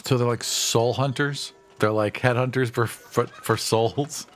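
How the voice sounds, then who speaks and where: Male game player, average-pitched voice, relaxed conversational delivery and dry quips. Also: a little slow, slight background noise. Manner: wry, quipping. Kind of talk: casual game table banter.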